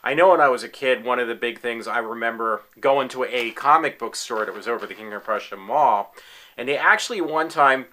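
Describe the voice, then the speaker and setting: A man's voice: mid-range voice, a little fast, pushed and bright. A man in his forties talking casually in his room at home, rambling with many fillers and restarts as an eager fan. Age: 40s. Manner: enthusiastic fan. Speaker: applicant in a tryout video. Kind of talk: casual self-recorded talk.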